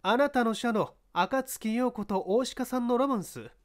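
からかい好きな上品な女性スカウトを演じる男性。. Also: male voice, very high voice, a little slow, pitched above the performer's own voice. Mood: teasing. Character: teasing, coquettish elegant woman talent scout.

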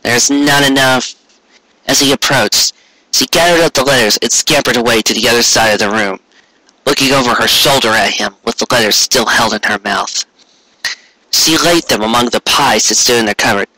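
Bright-voiced man reading a story. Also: mid-range voice, fairly quick, dry recording, rough recording. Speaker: fan fiction reader. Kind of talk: expressive storybook narration with character voices.

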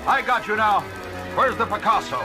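An actor playing a resolute, righteous masked superhero with formal, declamatory delivery in a comic-book audio drama. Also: male voice, high-pitched, moderate pace, lightly acted. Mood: commanding. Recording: vintage vinyl record drama with music.

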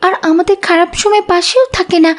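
A woman's voice: extremely high pitch, steady tone, brisk. A woman voicing a kind, devout little schoolgirl with a polite, earnest manner in a cartoon. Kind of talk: Islamic cartoon dub acting.

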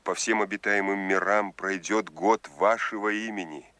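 An actor playing a grave voice from the future, solemn and measured, in Soviet radio drama style. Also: male voice, fairly low pitch, fairly even intonation, sharp tone.

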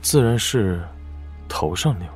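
An actor playing a calm, calculating blind male strategist in a wuxia audio drama, speaking in a measured, deliberate way. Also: lowish voice, soft background music. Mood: calm, firm.

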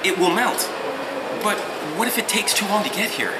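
Flustered, fretful gentleman acted by a man. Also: high-pitched, lively intonation, moderate pace, pitched above the performer's own voice. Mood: flustered, nervous. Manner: fretful, hesitant, stammering. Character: flustered, anxious, polite male suitor hosting tea. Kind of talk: amateur script read-through. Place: indoor convention lounge seating area. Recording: echoey noisy public lounge.

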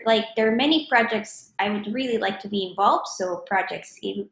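A woman's voice: medium pitch, fairly bright tone. A woman in her thirties from Colombia with a Colombian Spanish accent, a mathematician answering interview questions in a thoughtful way, often restarting her phrases. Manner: thoughtful, self-correcting, frequent restarts. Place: home room with plants. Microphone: webcam at desk distance. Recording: echoey room on a webcam.

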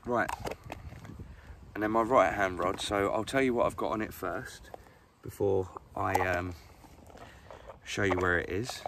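Man, 40s, English accent, low-ish voice, chatty fishing vlog, outdoors, noisy background. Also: fairly even intonation, measured pace, creaky. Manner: chatty, many ums and restarts. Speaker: fishing vlogger. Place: outdoors by a lake. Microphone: camera on a stand, sitting close.

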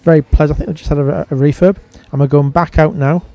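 A man's voice: on the high side, fairly even intonation, fast talker, muffled recording. A man giving a casual voice-over review as a vlogger.

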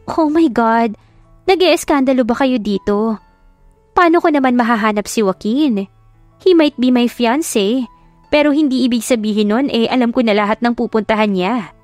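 A woman's voice: fairly high pitch, normal speed, a little mellow, no room sound, soft background music, close to an everyday voice. A woman voicing a smug, mocking, faux-sweet young rich woman in an audio drama, coyly taunting and slipping into English. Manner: coy taunting, code-switching into English. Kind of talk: audio drama storybook acting.

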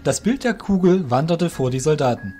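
A man's voice: a little high, expressive, moderate pace, dark tone, soft background music. A man narrating a scripted fantasy audio drama as its storyteller.

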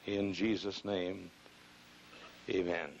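An older man preaches from a pulpit microphone in a large church, his lowish voice hoarse and rough with gravel. He hammers his points, repeats phrases and swells into loud bursts. A faint hiss from an old video recording sits under him. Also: little pitch movement, slow pace, muffled recording. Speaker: pastor. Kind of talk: fiery sermon. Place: large church auditorium, choir behind.

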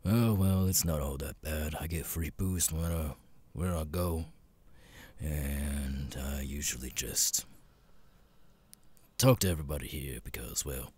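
A man voicing a gruff, jaded cat demon bartender in an ASMR roleplay, muttering and swearing wearily with hesitant pauses in a deep, gravelly rasp. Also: fairly even intonation, darkish tone, dry recording, heavily acted. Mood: weary, resigned.

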